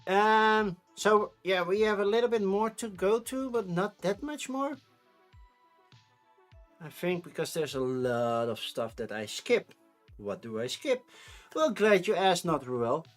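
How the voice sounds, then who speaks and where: A man's voice: sits high, big pitch swings, a little slow, faint music behind. A man in his thirties with a Dutch accent, rambling casually on a live stream. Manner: rambling, chatty, with frequent restarts and laughs.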